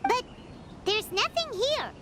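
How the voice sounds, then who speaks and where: A woman voicing a small, excitable, curious female fairy companion in a video game, with a squeaky childlike voice. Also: slow pace, very noisy, full character voice. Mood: puzzled, chatty.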